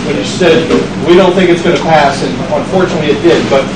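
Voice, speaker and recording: A man's voice: on the high side, fairly even intonation, fast talker, a loud crowd. A man opening a meeting's question session, plain-spoken as he lays out two questions. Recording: distant echoey recording with loud noise.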